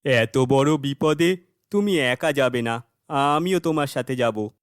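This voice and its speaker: Man as a slow-spoken, loyal young hero. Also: medium pitch, clean tone, no echo, studio quality, lightly acted. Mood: resolute. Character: brave, loyal male companion of princess.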